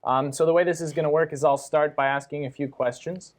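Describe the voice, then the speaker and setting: A man's voice: a little high, fairly even intonation, brisk. A young man, a university student, moderating a Q&A, politely laying out how the session will work and asking questions. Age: young adult.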